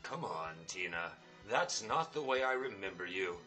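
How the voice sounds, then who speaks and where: Man as animated, sheepish space captain. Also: low voice, normal speed, soft background music. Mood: sheepish, resigned. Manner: hammy, wry, exasperated. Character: self-pitying, sheepish male space captain. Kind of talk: comic sci-fi radio play acting.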